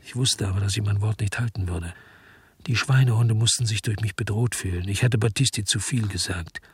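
A man's voice: on the low side, a little fast, a little mellow, breathy edge, dry recording, clean studio sound. A man playing a weary, disgusted hard-boiled investigator, narrating his own story in a brooding, terse way in a German radio drama. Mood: brooding, bitter.

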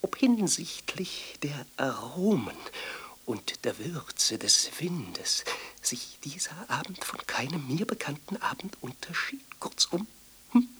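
Radio drama: fussy, exalted ascetic, breathy mutter with wide swings of pitch, halting and theatrical. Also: female voice, sing-song, a bit bright, whispered, exaggerated voice. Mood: fussy, interrogating. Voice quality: husky, breathy. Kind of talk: literary radio drama monologue.

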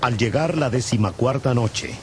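A man narrating scripture as a scripted audio Bible, in a measured, solemn manner. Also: mid-range voice, a little hiss or hum. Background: music.

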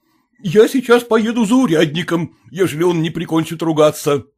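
A man voicing an indignant, blustering gentleman husband in a storybook, curt, imperious and exasperated. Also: high voice, big pitch swings, unhurried, breathy edge, pitched above the performer's own voice, exaggerated voice.

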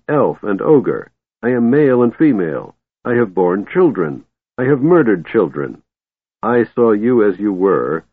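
A man voicing an ageless male chronicler in a fantasy audiobook, cold and grave, declaiming in a slow, solemn way with anger held in. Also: lowish voice. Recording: muffled phone-like audio with room echo.

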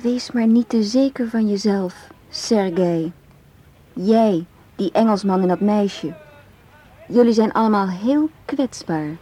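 An actress in a Dutch radio play, playing a cold woman who speaks in a deliberate, threatening way. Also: medium pitch, mellow. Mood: menacing.

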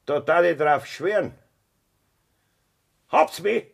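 An actor with a Bavarian accent playing a cruel, scheming farmer husband in a 1970s radio play, with a gravelly, husky voice. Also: male voice, fairly high pitch, expressive, takes it slowly, exaggerated voice. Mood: menacing.

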